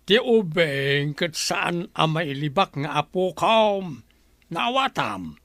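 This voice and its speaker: A man with an Ilocano accent playing a protective old grandfather in an audio drama, speaking slowly and deliberately. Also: a little high, sing-song, gravelly, a bit bright, dry recording, studio quality, exaggerated voice. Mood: reproachful. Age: older. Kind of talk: radio drama acting.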